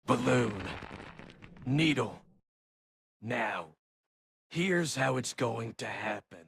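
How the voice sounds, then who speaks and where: An actor playing a vengeful, menacing superhero in a comic-book drama, speaking with deliberate, measured pauses and a gravelly edge. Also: male voice, medium pitch, expressive, fairly bright tone, heavily acted. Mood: cold, threatening. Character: vengeful, menacing male superhero threatening his enemy. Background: music.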